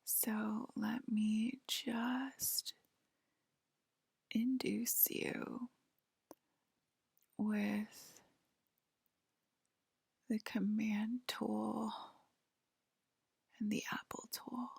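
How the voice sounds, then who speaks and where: Slow, creaky whispering woman, very close. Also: on the high side, flat delivery, dry recording. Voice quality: breathy whisper. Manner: soft, slow, soothing. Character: calm alien abductor attending to a patient aboard a spaceship. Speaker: ASMR performer. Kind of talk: whispered ASMR sci-fi roleplay, improvised. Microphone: close microphone, dry.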